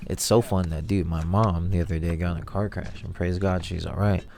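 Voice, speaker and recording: Stuffed-up, gravelly low-voiced man. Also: fairly even intonation, brisk, darkish tone. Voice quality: stuffed-up nasal voice. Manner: laid-back, rambling, slangy. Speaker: video host. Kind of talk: casual voice-over commentary. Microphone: headphones monitoring, voice-over mic.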